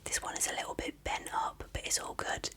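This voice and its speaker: A person speaking in a breathy, unvoiced whisper, softly and unhurriedly explaining things as an ASMR unboxing presenter. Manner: soft-spoken, unhurried, explanatory. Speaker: video presenter.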